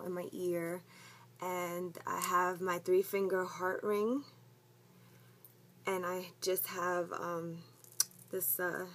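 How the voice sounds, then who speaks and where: A woman's voice: on the low side, a bit bright, slight background noise. A woman, chatting casually to camera at home as a vlogger, rambling with lots of ums and likes. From the Bronx, New York. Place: at home, indoors.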